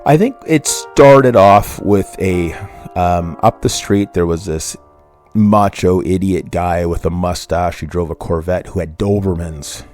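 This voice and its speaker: Casting note: man in his fifties, very low voice, podcast host, loose and rambling as he tells a personal story, faint music underneath. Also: unhurried, darkish tone. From Canada. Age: 50s. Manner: rambling, with restarts and self-corrections. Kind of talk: personal true crime storytelling.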